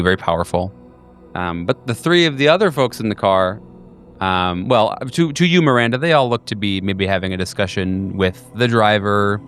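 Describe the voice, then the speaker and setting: A man's voice: lowish voice, fairly bright tone. A man narrating as game master of a tabletop horror game, rambling and full of ums and uhs. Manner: rambling, filler-heavy, with many ums and uhs.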